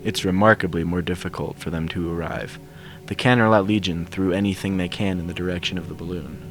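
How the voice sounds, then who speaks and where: Male narrator, low pitch, scripted story reading, faint game soundtrack music behind. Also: fairly even intonation, fairly quick. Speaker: fan fiction reader.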